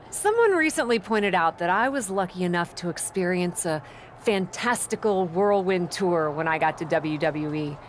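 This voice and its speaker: A woman's voice: lowish voice, a little hiss or hum, natural voice. An actress playing a confident young female wrestling champion who talks in a playful, persuasive way. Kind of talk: video game cutscene acting.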